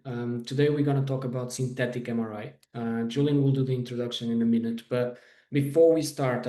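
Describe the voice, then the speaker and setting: Male webinar host, informal, level intonation and soft dark tone, recorded with room echo. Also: medium pitch. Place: indoor room on online webinar call. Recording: roomy, echoey sound.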